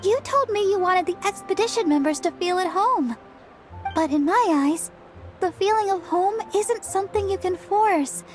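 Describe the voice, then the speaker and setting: An actress voicing a sweet, cheerful young woman who speaks gently and earnestly. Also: extremely high pitch, moderate pace. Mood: gentle. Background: soft game music.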